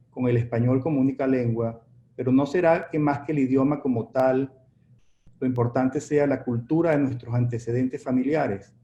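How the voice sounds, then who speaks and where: A man's voice: mid-range voice, warm tone. A man moderating an online panel, reading out audience questions with hesitant restarts, over a video call. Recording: dull echoey call audio with faint noise.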